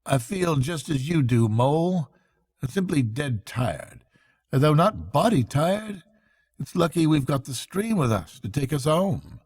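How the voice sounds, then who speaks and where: Man reading as musing, mellow storybook water rat. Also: a little high, sing-song, breathy edge, no echo, clean studio sound, voice pushed up, exaggerated voice. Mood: dreamy, tired. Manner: slow, musing, murmuring. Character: thoughtful, dreamy water rat, kindly male animal friend.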